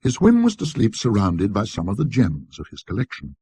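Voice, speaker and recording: A man with a husky, raspy voice narrating a classic literary ghost story in a precise, scholarly, measured manner. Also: on the low side, huge pitch swings, warm tone, dry recording.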